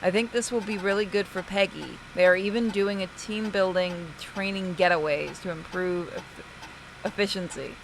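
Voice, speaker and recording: Mid-pitched woman commenting on a game. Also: fairly even intonation, moderate pace, low-quality recording. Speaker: gameplay commentator. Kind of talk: casual gaming commentary and reading game text aloud. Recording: faint background noise.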